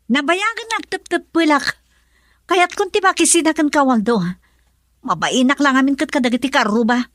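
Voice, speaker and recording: A woman's voice: high-pitched, moderate pace, a bit bright, dry recording, clean studio sound. An actress playing a discontented young wife who nags and complains at her poor husband in an Ilocano radio drama. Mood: exasperated. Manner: complaining, exasperated.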